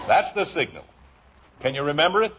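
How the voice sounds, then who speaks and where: A man's voice: fairly high pitch, very animated, a little fast, a bit bright. An American actor playing a breezy, joking, distracted middle-aged father in a 1950s radio sitcom. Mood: conspiratorial. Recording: noisy, muffled old radio recording.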